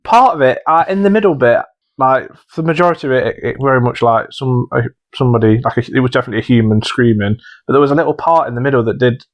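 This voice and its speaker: A man with an average-pitched voice in casual, comic conversation. He speaks as a podcast co-host trading reactions with his partner. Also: fast talker, hardly any pauses.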